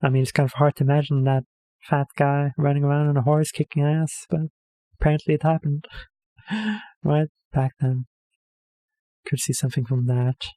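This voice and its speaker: Man, mellow voice, dry sound, hesitant rambling reaction host. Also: fairly high pitch, normal speed, pauses often, no room sound, low-quality recording. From Norway. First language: Norwegian. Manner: hesitant, rambling, self-correcting with many fillers. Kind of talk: casual rambling commentary.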